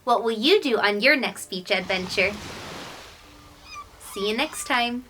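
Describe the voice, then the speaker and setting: A woman's voice: fairly high pitch, lively intonation, measured pace. A woman hosting a children's read-aloud, speaking expressively and directly to young listeners.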